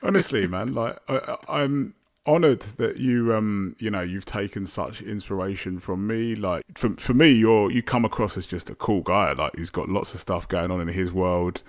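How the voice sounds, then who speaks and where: A man's voice: fairly low pitch, normal speed. A man, a podcast guest talking casually, rambling with many fillers and restarts. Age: 40s. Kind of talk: casual interview chat.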